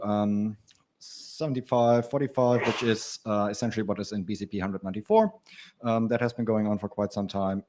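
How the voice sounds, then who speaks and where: A man's voice: fairly low pitch, a little mellow. A man from Germany giving a wry slide presentation at a technical meeting.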